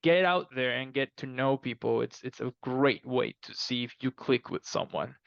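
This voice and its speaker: A man chatting casually as a podcast guest, explaining things in an animated, emphatic way and often repeating himself. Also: mid-range voice, normal speed, gravelly, no room sound, dull audio. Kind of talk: casual conversational interview answers.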